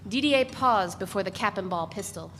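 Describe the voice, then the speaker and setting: A woman's voice: medium pitch, expressive, normal speed. Woman narrating a novel in an echoey hall. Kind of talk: literary narration, dramatic reading of a novel. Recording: noisy, reverberant hall recording.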